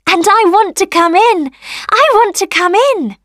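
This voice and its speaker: Woman as cheery, very high little sunshine. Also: measured pace, no echo, studio quality. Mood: cheery, eager. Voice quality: soft. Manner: cheerful, eager. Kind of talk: children's storybook character voice.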